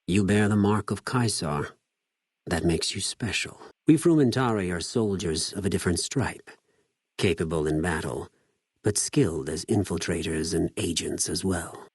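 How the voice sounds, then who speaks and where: An actor playing a cold, zealous, contemptuous legion spy in a video game, speaking formally with measured condescension. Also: male voice, deep voice, breathy edge, no echo, studio quality. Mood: calm condescension. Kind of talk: scripted video game character dialogue.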